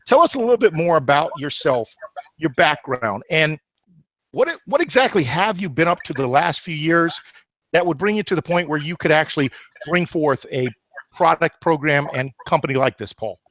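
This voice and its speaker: A man's voice: a little high, animated, normal speed, fairly bright tone, no room sound, lo-fi sound. A man hosting a weekly webinar call, asking questions in a jokey, hyped-up way and calling his guest "my man.". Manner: jokey, hype-driven, calls the guest "my man". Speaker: webinar host, interviewer. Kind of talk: webinar interview.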